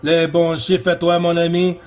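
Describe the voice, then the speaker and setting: Man, Quebec French accent, fairly high voice, CB radio operator, casual contact chat, repeated phrases, friendly address. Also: monotone, a little slow, faint music behind. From Quebec, Canada.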